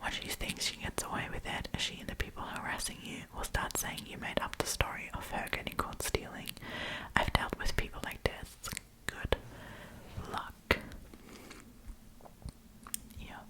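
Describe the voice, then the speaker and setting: A woman's voice: a little slow, long pauses, rough recording. A woman hosting an ASMR storytime, reading stories aloud and commenting in a casual, chatty way. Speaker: host reading and reacting to online stories.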